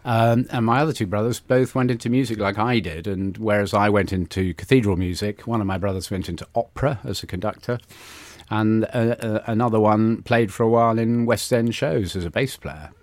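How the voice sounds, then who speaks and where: A man's voice: fairly low pitch, brisk, dry recording. A man in his sixties with a London accent, reminiscing casually as a studio interview guest in a hesitant, self-deprecating, chatty way. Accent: London English. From London, England. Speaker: interview guest, church musician. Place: radio studio.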